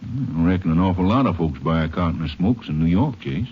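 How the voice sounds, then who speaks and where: An actor playing a plain-spoken, laconic county sheriff with folksy phrasing in a 1950s radio western. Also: male voice, sits low, animated, a little fast, heavily acted. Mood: curious. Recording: dull old radio recording with clear hiss.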